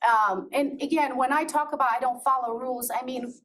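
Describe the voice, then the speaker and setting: A woman's voice: sits high, steady tone, a little fast, a bit bright. A middle-aged woman from Azerbaijan, with Georgian as her first language, giving a candid personal talk to an audience, laughing often and restarting her sentences. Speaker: presenter. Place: presentation room. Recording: voice from far off with faint noise.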